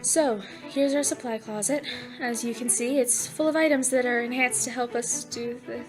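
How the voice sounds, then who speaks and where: A woman's voice: fairly high pitch, steady tone, moderate pace, music in the background, close to an everyday voice. A woman playing a friendly, knowledgeable young cadet in an audio drama, explaining things sincerely. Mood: relieved, sincere.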